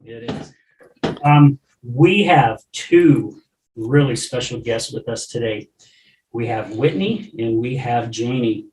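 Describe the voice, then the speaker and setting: A man's voice: medium pitch, slow pace, a little mellow. A man hosting a recovery podcast, chatting enthusiastically and laughing often with his guests. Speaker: podcast host. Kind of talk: casual chat. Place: room with a live audience. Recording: roomy, from a distance, faint noise.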